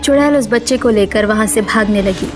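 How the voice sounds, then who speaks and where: A woman's voice: mid-range voice, brisk, over music. A woman narrator reading a scripted cartoon story.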